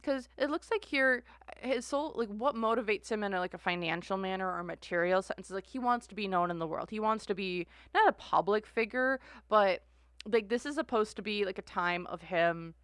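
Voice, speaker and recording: A woman's voice: mid-range voice, normal speed, a bit bright, clear, resonant tone, no echo, clean studio sound. A woman giving a casual tarot reading, rambling and filling her sentences with "like" and "uh.". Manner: rambling, heavy use of "like" and "uh". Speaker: tarot reader.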